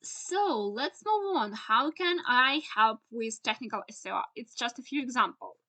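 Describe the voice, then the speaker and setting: A young woman with a Ukrainian accent, a native Ukrainian speaker, explains a screen-share tutorial in English. Her voice sits fairly high and sounds bright and crisp. She pauses often on "uh" and phrases things in halting, non-native English. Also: animated. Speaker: SEO instructor.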